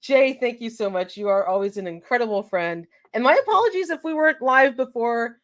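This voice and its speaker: A woman's voice: on the high side, lively intonation, brisk. A woman hosting a live show in casual chat, a little congested from a cold, laughing easily as she reads out viewer names.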